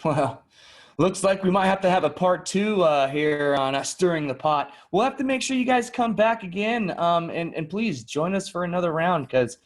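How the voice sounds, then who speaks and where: Man acting genial, higher-voiced talk show host. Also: expressive, normal speed. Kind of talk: improvised comedy talk show parody.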